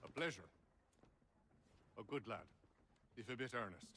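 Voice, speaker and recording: A man playing a measured, courteous gentleman scholar with formal speech in a video game. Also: medium pitch, animated, in a large hall, slight background noise. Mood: composed. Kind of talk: video game character acting.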